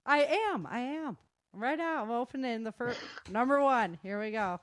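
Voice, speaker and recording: A woman's voice: fairly high pitch, lively intonation, normal speed, a crowd in the background. A woman chatting casually as host of a live show, informal and slangy, with exclamations.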